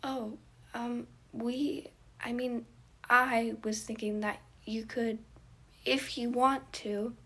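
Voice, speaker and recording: A woman voicing a shy, nervous small boy in a story, speaking in a hesitant, halting way. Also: fairly high pitch, level tone, bright, forceful tone, husky, voice pushed up, heavily acted.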